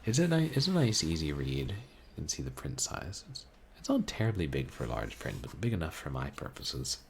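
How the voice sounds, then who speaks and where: A man streaming a book reading, chatting casually with his chat in a calm, musing, halting way. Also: very deep voice, creaky, a little hiss or hum. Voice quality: calming voice. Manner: musing, soft-spoken, halting. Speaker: streamer reading books aloud.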